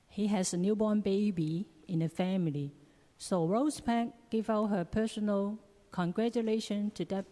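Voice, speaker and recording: Woman, native Cantonese speaker with that accent, public commenter; unhurried, halting delivery, reverberant hall. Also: mid-range voice, dark tone. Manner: halting, non-native phrasing. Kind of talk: prepared public testimony at a meeting. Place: large echoing public meeting chamber. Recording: long echoing hall reverb.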